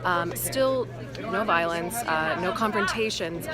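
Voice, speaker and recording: Woman field reporter amid a loud crowd. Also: fairly low pitch, animated, takes it slowly. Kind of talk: live-style news report with hesitations. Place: outdoors at a protest. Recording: loud outdoor crowd and music. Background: loud crowd, music.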